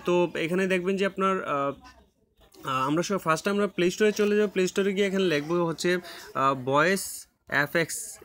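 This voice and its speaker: A man's voice: on the high side, fairly bright tone. A man with a Bangladeshi Bengali accent hosting a casual screen-recorded app tutorial, filling his talk with many "uh"s and restarts. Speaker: tech tutorial host.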